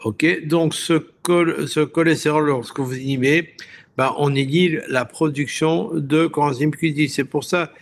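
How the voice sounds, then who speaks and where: A man speaking as a health expert guest on a radio show, explaining science conversationally in a didactic, digressive way, often checking in with the listener and pausing to search for words. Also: a little high, fairly even intonation, a little hiss or hum.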